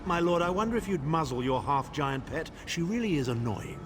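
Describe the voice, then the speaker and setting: A man's voice: on the high side, very animated, brisk, background noise. A man playing a snide, cowardly nobleman in a dramatic fantasy game. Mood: snide, irritated. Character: snide, cowardly nobleman serving his lord.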